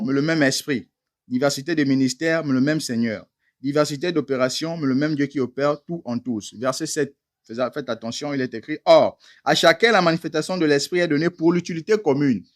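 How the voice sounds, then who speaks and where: Male preacher, average pitch, emphatic teaching sermon with rhetorical questions. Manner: addresses listeners as beloved brothers.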